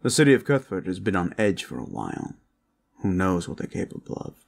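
A man performing an intimate audio roleplay as a protector, speaking in a reassuring, courteous, measured way. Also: sits low, wildly swooping pitch, vocal fry. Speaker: roleplay voice actor, playing a gentle protector.

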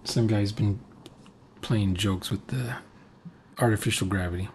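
An older man with a husky voice giving casual reaction commentary on a roleplaying game. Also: on the low side, fairly even intonation, measured pace, a little mellow. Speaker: video host commenting on a game recording.

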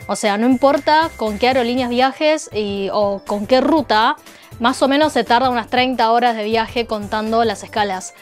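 A woman's voice: fairly high pitch, normal speed, soft background music. A young woman from China, hosting a casual explainer video with wry asides, on a lapel mic indoors. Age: young adult. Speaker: host. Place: indoor room.

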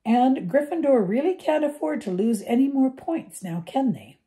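Sneering, menacing male teacher, done by a woman reader, smooth delivery, mellow tone. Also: high-pitched, animated, moderate pace, pitched above the performer's own voice.

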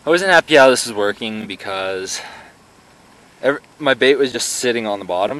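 A man's voice: fairly low pitch, fairly bright tone. A young man vlogging outdoors with a camera held at arm's length, talking in a chatty, rambling way. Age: young adult. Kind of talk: casual fishing vlog. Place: outdoors by a pond. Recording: faint outdoor background noise.

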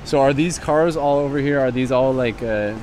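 A man's voice: mid-range voice, moderate pace, darkish tone. A man in his thirties chatting casually and loosely as a vlog host, recorded on location with a small handheld mic. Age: 30s. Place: in a moving car and outdoors in a parking lot. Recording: outdoor urban and car noise.

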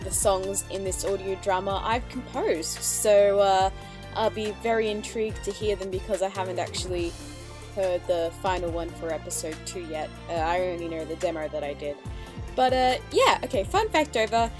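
A woman's voice: mid-range voice, normal speed. A woman giving a chatty, enthusiastic, casual reaction commentary as host of her video. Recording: music behind the voice.

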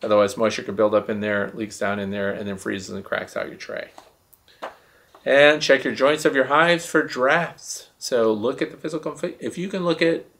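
An American man in his sixties with a gravelly voice, explaining things casually and emphatically as the host of a question-and-answer show. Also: mid-range voice, normal speed, a bit bright. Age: 60s. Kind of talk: casual explanatory talk to camera. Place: small indoor room, seated at a desk.

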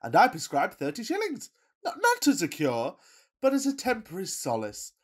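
An actor playing a pompous, lovestruck rich young gentleman, melodramatic and self-regarding, in a farcical radio play. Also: high-pitched, huge pitch swings, moderate pace, heavily acted. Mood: pompous, persuasive.

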